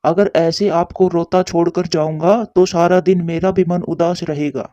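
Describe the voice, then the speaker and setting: A man's voice: fairly high pitch, fairly even intonation, a little slow, dark tone, dry recording, close to an everyday voice. A man voicing a patient, devoted husband pigeon in a cartoon story, earnest and consoling, in a dull lo-fi recording. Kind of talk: Hindi cartoon story dialogue.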